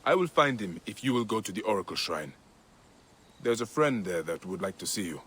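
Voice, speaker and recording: An actor playing a calm, resolute ancient warrior protector with a measured, dry-witted way of talking in a video game. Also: male voice, on the low side, slightly rough. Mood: calm, wry. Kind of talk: video game cutscene acting.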